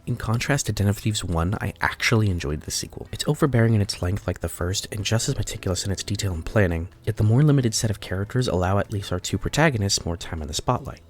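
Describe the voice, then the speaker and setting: Young man, very deep voice, stuffy nasal sinus sound, rapid delivery, blunt scripted film reviews. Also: expressive, faint music behind. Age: early 20s. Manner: blunt, opinionated verdicts with scores.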